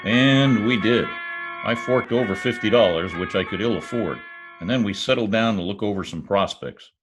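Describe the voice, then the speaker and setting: A man's voice: on the low side, normal speed, lightly acted. A man playing a wry, wisecracking private detective who poses as a lonely oilman, in old radio drama style. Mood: wry narration. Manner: wry, quipping. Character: wisecracking male private eye posing as lonely Oklahoma oilman. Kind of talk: 1940s radio detective acting, first-person narration. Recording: remote video-call recording with music.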